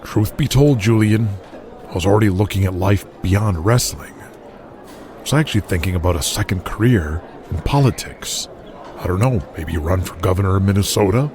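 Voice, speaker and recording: A man's voice: deep voice, normal speed, background noise, close to an everyday voice. A man playing a wary, plain-spoken veteran pro wrestler with a hesitant, guarded manner in an audio drama. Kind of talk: audio drama character acting.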